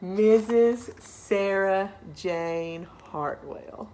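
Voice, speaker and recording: A woman reading a storybook aloud, voicing a gushing, brisk and reassuring female school principal with emphatic announcements. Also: medium pitch, warm tone, a little hiss or hum. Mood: announcing.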